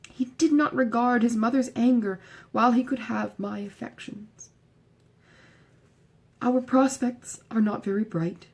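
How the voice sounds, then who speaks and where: A woman's voice: a little high, a little mellow, husky, pitched above the performer's own voice. A woman voicing an ingratiating, self-satisfied young woman's letter in an audiobook, effusive and earnest.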